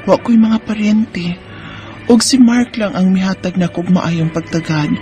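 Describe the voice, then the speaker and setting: Music sits under an actress playing a married mother in a Cebuano Bisaya radio drama. Her voice is medium in pitch and she sounds confiding, teasing yet guarded. She speaks as a woman telling and living her own story. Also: measured pace, dark tone, natural voice. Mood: confiding, narrating. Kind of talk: Bisaya radio drama acting and first-person narration.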